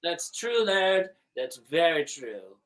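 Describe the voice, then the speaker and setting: A man playing a mild, doting father in improvised comedy, halting and placating. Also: a little high, sing-song, a bit bright, exaggerated voice. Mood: resigned.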